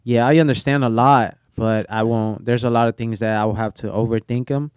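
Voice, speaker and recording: A man's voice: medium pitch, fairly quick, clear, resonant tone, no room sound, studio quality. A young man, a barber whose first language is Portuguese, talking casually and rambling with lots of fillers in an interview. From Brazil. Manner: relaxed, rambling with many fillers. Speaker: barber guest.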